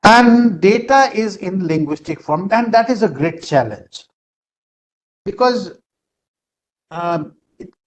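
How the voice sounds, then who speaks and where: Man, Indian English accent, native Nepali speaker, fairly high voice, hesitant lecture delivery, video call mic. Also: animated, slight background noise, rough recording. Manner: halting, many "uh" fillers. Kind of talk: online lecture.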